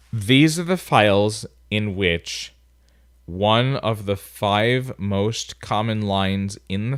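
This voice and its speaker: Man, nasal, smooth and clear, casual screencast instructor, dry sound. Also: fairly low pitch, a bit bright, dry recording. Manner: casual, thinking aloud while typing. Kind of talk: screencast coding tutorial. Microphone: voice-over on a screen recording.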